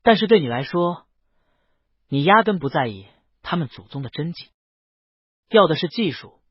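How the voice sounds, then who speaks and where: Man playing a shrewd, worldly auction lord, lively pitch. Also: moderate pace, slightly rough, no echo, muffled recording. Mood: wry amusement. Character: Fang Zijing, worldly male auction-house lord, shrewd and teasing.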